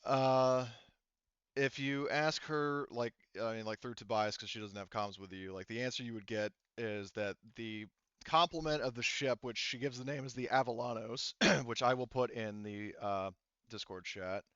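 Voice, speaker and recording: A man running a tabletop roleplaying game as game master, explaining details casually in a halting way. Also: mid-range voice, steady tone, fairly bright tone, smooth, dry recording, dull audio.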